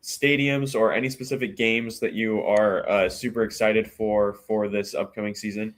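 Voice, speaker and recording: A male podcast co-host with a fairly low voice speaks casually. He stumbles and restarts as he asks his question. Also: steady tone, moderate pace.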